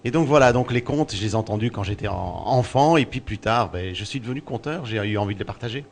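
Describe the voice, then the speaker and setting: A man's voice: fairly low pitch, lively intonation, quick pace, vocal fry, slight background noise. A man born in Belgium who works as a storyteller, answering interview questions in a thoughtful way and often repeating words while he looks for the next one. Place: outdoors at a festival.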